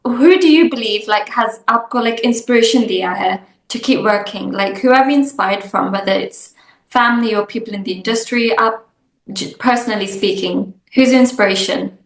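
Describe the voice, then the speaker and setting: A woman's voice: mid-range voice, animated, brisk. A woman hosting an interview, asking questions in loose, chatty phrasing full of "like" fillers, switching between English and Hindi. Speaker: interviewer, host. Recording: roomy echo.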